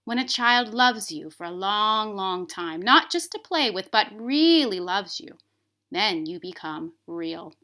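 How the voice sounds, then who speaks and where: A woman voicing a wise, truthful, very old toy horse, gentle and patient, in a storybook read-aloud. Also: medium pitch, lively intonation, unhurried.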